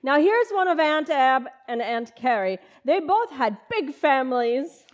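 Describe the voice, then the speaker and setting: A woman's voice: high-pitched, sing-song, a little slow. A woman playing a long-suffering, sensible wife in an old-time radio comedy, chiding in a dry, folksy way. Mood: brisk.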